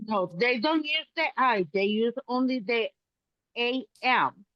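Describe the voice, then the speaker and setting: A woman's voice: fairly high pitch, expressive, takes it slowly, no echo, dull audio. A woman from Puerto Rico with a thick Puerto Rican Spanish accent, chatting animatedly as an interview host. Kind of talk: casual interview chat.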